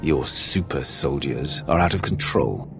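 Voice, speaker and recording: An actor playing a stern, assured Sith warrior who leads an alliance in a video game, terse and authoritative, with a gravelly voice. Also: male voice, a bass voice, unhurried, faint music behind. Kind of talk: video game cutscene acting.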